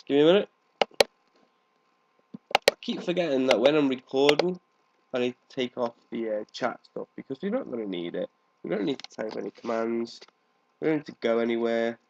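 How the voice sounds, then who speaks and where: A man's voice: medium pitch, vocal fry, a little hiss or hum. Congested man casually narrating gameplay, dull audio. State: congested with a cold, coughing now and then. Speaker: gaming video host. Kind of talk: casual let's play commentary. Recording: dull, lo-fi microphone sound.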